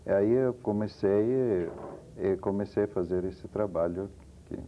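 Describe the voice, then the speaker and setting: Deep low italian-accented guy, halting short answers, muffled tv sound. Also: a little hiss or hum. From Egypt. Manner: halting, short replies with tag questions. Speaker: interview guest. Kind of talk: hesitant conversational interview answers. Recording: dull lo-fi TV interview audio.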